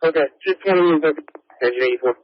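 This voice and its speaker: A man's voice: on the high side, fast, no room sound, highs cut off. A man dispatching emergency fire traffic over a two-way radio scanner feed, speaking in clipped, procedural phrases.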